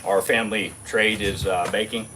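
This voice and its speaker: A man from Germany, telling a fishing story in a relaxed, casual way with many fillers. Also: fairly low pitch, normal speed, slight background noise. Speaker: guest angler and bakery owner.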